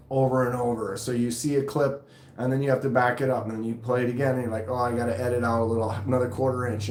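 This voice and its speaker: A man with a deep voice hosting a casual live stream, rambling loosely and reading viewer comments aloud. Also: reverberant. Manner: relaxed, rambling, reads chat aloud. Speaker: knife channel live streamer.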